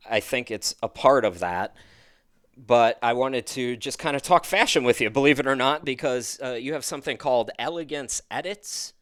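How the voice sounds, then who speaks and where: A man's voice: lowish voice, a bit bright, smooth, dry recording, clean studio sound. A middle-aged man hosting a podcast, talking in a chatty, rambling, upbeat way. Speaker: podcast host. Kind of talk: casual interview chat.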